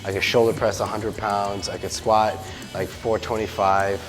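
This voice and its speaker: A man's voice: lowish voice, level tone, moderate pace. A man in his thirties talks through his workout in a loose, rambling way, full of fillers and upbeat asides. His voice carries a long echo off a large gym space, with music playing faintly behind him. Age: 30s. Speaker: podcast host working out. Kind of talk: casual gym chat.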